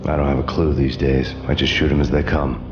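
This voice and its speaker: An actor playing a world-weary, sardonic avenger, narrating a noir game story in a deadpan, hardboiled manner. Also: male voice, a bass voice, fairly quick, muffled recording, background noise. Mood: wry. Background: music.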